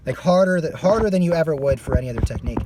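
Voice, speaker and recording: A man's voice: on the high side, animated, racing, noisy background. A man teaching a singing lesson. He is losing his voice and stops his explanation to demonstrate screams. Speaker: vocal coach.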